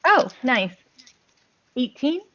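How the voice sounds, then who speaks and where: A woman's voice: sits high, very animated, drawn-out words, fairly bright tone, dull audio. A woman playing an online tabletop game, chatting casually as she plays. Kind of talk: casual chat during a game.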